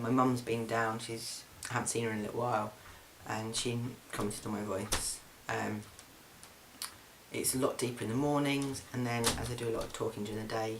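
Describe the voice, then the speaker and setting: A man's voice: on the low side, background noise. A young man, talking through a casual video diary update with lots of ums and restarts, recorded indoors at home. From England. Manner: chatty, frequent ums and restarts. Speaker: vlogger. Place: flat, indoors.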